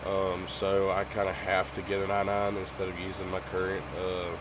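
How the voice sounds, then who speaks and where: Sick, rambling low-voiced man. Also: completely flat delivery, normal speed, noisy background. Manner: rambling, many ums and restarts. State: sick with a cough, voice off. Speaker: gaming YouTuber. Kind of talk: casual chat to viewers.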